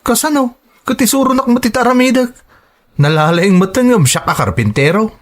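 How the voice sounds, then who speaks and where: A man's voice: extremely high pitch, animated, brisk. A man playing a proud, stubborn working husband who grumbles defensively, in an audio drama. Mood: irritated, defensive. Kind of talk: serial audio drama acting.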